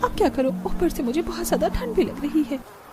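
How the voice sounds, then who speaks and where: A woman's voice: high-pitched, expressive, a little fast, music in the background, pitched above the performer's own voice. A woman voicing a fed-up young village daughter-in-law in a cartoon story, grumbling in a whiny, sarcastic way. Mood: annoyed, whining.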